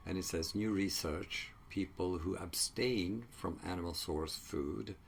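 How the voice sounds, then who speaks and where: Man, Swedish native speaker, very low voice, slow halting delivery, faint hiss behind. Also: creaky. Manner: halting, many fillers and restarts. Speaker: psychology researcher. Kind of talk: casual solo video talk.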